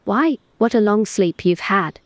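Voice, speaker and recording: A woman playing a gentle, affectionate older sister in a storybook, speaking tenderly. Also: medium pitch, lively intonation, a little slow, no room sound, close to an everyday voice.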